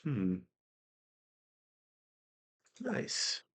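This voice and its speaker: A man hosting casual let's-play commentary, hoarse from illness, laid-back and clearing his throat often. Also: extremely slow, fairly bright tone, slight background noise. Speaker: gameplay host.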